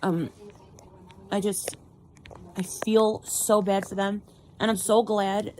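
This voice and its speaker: A woman streamer commenting on the news in rambling, off-the-cuff talk, halting and repeating herself for emphasis. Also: medium pitch, flat delivery, darkish tone, slight background noise, poor audio.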